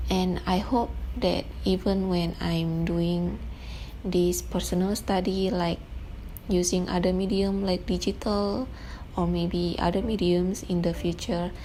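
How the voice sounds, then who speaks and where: A woman, chatting softly through a casual Q&A voice-over, sometimes dropping into hushed asides. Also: fairly low pitch, low-quality recording. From Indonesia. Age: 20s. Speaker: artist vlogger. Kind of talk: casual Q&A voice-over while painting. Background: faint background music.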